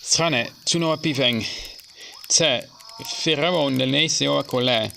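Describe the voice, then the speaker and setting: A man's voice: mid-range voice, unhurried, crowd noise. A man playing a male Na'vi alien villager in an amateur audio drama performed in a constructed language. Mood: conversational. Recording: distant mic, clear background noise.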